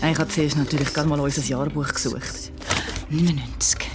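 Anxious Swiss German woman, acted, lower-voiced. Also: steady tone, brisk, loud music behind the voice, close to an everyday voice. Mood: narrating, reflective. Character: Steffi, anxious, driven young mother investigating a mystery. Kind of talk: naturalistic audio drama acting and narration.

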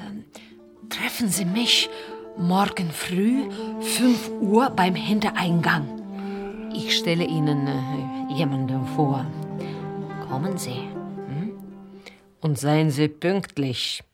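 An actress playing a blunt, emphatic office cleaner with a conspiratorial streak in a naturalistic radio play. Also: female voice, fairly low pitch, slow pace, pauses often, music in the background. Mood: hushed, conspiratorial. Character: indignant, conspiratorial working-class woman, an office cleaner.

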